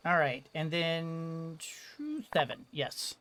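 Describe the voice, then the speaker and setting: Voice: mid-range voice, big pitch swings, slow pace, a bit bright, a little hiss or hum. A video game streamer giving casual commentary, thinking aloud in a hesitant, rambling way.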